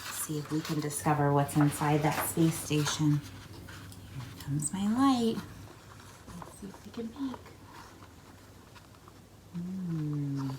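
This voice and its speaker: A woman's voice: deep voice, slow, long pauses, noisy background. A woman reading a children's picture book aloud, expressively, with coaxing questions and asides to young listeners. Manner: expressive storytelling, coaxing questions, acted-out sound words. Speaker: read-aloud host.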